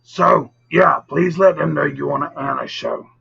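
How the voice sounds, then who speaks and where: Male live storytelling host: bright, forceful, very husky rasp, casual and teasing with viewers, muffled recording with music underneath. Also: fairly high pitch, unhurried. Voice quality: gravelly, raspy. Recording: dull lo-fi audio, music behind.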